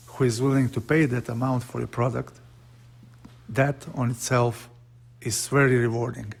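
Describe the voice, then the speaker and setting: A man's voice: mid-range voice, gravelly, husky. A man from Slovenia speaking English with a Slovenian accent, narrating his woodworking in an earnest, plain way with small slips. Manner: plain, earnest, slightly broken English with frequent uh fillers. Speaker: woodworker and channel host. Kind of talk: casual workshop vlog narration.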